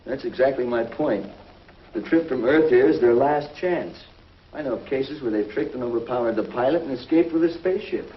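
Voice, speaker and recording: A man's voice: medium pitch, brisk, slight background noise, close to an everyday voice. An actor playing a brave, protective male space pilot in a 1950s TV drama, firm and earnest. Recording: roomy, distant, lo-fi 1950s TV audio.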